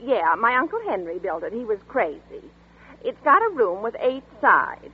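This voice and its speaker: A woman's voice: a little high, big pitch swings, normal speed, slightly rough, full character voice. Folksy country boy. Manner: folksy, rustic, plain-spoken. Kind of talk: 1940s radio acting. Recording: tinny old radio broadcast.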